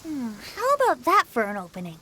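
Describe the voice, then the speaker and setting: A woman voicing a youthful, lighthearted male bard in an animated game, airy, polite and jaunty. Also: sits high, brisk, noisy background. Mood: amused.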